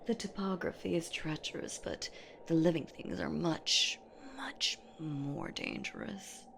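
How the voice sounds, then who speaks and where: Slow, low, creaky monster woman, played by a woman. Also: fairly bright tone, slight background noise, heavily acted. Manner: theatrical, teasing, slow, often dropping to a whisper. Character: menacing, playful centuries-old female boogeywoman monster hunting her prey. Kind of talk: horror audio roleplay, addressed to the listener.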